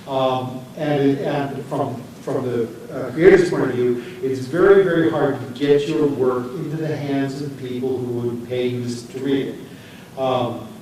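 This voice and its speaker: A man speaking off the cuff in a panel discussion, haltingly, often searching for his words. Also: mid-range voice, steady tone, a little mellow. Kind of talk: panel discussion, unscripted talk. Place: echoey room, recorded at a distance. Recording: very echoey room, distant mic, faint noise.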